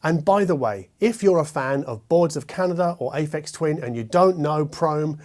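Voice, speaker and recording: A man hosting a scripted hi-fi product review, speaking in a measured way with wry asides. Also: a little high, animated, a little mellow. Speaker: host and hi-fi reviewer.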